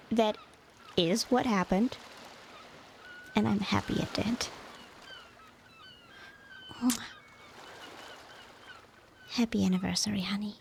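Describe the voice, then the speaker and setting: A woman plays a devoted, nostalgic mermaid wife in a romantic ASMR audio drama. Her medium-pitched voice is soft and unhurried, often near a whisper, with a creaky edge. Faint ambient noise sits behind her. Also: natural voice. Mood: playful, affectionate. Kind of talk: ASMR romantic roleplay, softly spoken.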